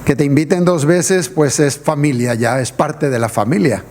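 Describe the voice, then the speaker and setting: A man's voice: on the high side, moderate pace, darkish tone, slight background noise. A middle-aged man from the Canary Islands, raised in Venezuela, preaching an evangelistic sermon as a guest pastor and firing rhetorical questions at the congregation.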